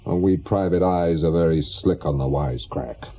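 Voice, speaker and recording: A man's voice: low-pitched, moderate pace. A man playing an awkward, wry middle-aged ex-policeman turned private eye in a radio play, muttering and hesitant. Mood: wry, defensive. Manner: hesitant, muttering, dryly sardonic. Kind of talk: naturalistic radio drama acting. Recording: dull, lo-fi radio drama recording.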